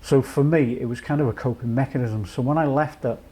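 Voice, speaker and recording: A man from North Wales in his late fifties, a charity chief executive giving a measured, reflective personal account with many pauses. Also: medium pitch, warm tone. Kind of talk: personal testimony to camera.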